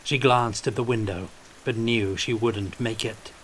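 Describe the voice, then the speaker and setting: A man's voice: mid-range voice, fairly even intonation, a little slow, fairly bright tone, a little hiss or hum, low-quality recording. A man reading scripted fiction as an audiobook narrator, in a storytelling style.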